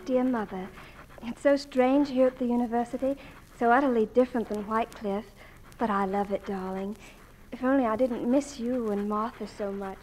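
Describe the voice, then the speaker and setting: A woman's voice: a little high, steady tone, moderate pace, a little mellow, soft background music, lightly acted, low-quality recording. A woman acting a breezy, affectionate eighteen-year-old student daughter in 1940s-style radio drama. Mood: gushing, affectionate.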